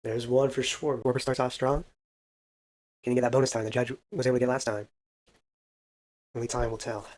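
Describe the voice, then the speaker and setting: A man's voice: medium pitch, little pitch movement, a little fast, long pauses, darkish tone. A man casually commentating a baseball video game, counting hits aloud and adding self-deprecating asides. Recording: faint background music.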